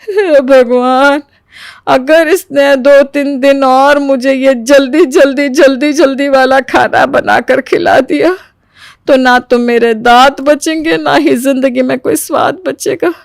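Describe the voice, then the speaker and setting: A woman's voice: high-pitched, steady tone, slow pace, vocal fry, no room sound, studio quality, full character voice. A woman voicing a scheming, dramatic older mother-in-law in a cartoon story, theatrical and falsely sweet. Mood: exasperated, dismayed. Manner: theatrical, exaggerated. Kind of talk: animated Hindi moral story voice acting.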